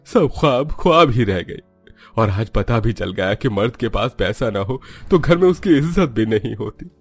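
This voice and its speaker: A poor, proud husband in despair, from a cartoon family drama, acted by a man. The voice is mid-pitched and plaintive, played melodramatically over a light music bed. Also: slightly rough. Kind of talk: Hindi animated family melodrama.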